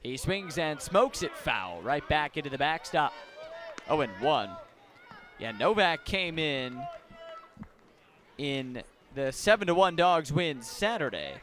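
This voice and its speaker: A man calling baseball play-by-play live on the radio in an animated way, with dramatic pauses. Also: on the high side. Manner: animated, dramatic pauses, swelling on big plays. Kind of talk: live radio sports commentary. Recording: crowd and music behind the commentary. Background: ballpark crowd and stadium music.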